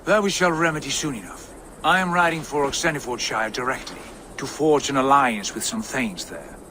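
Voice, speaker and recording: An actor playing a commanding Viking chieftain giving brisk orders. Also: male voice, medium pitch, bright, forceful tone, slight background noise, natural voice. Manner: authoritative, brisk.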